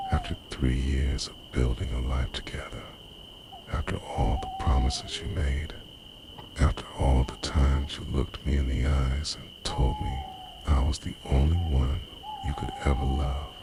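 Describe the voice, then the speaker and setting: A male actor performs a young boyfriend with a very low, soft voice, obsessive and betrayed. He pleads with dramatic intensity in an ASMR roleplay. Loud night noise of crickets and owls sits behind him. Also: exaggerated voice. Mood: hurt, disbelieving. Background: night ambience of crickets, owls and insects.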